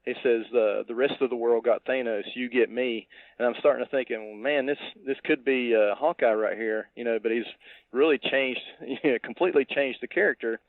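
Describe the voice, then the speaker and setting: A man co-hosting a podcast over a tinny line, casually discussing a film in a halting, thinking-aloud way. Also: mid-range voice, no room sound. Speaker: podcast co-host. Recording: tinny, dry phone-like line.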